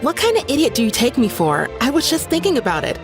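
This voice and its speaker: An actress playing a brash young woman in a game, snappy and quick to scoff. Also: high-pitched, huge pitch swings. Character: young woman, brash and proud of her land's heroes. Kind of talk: game voice acting. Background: game music.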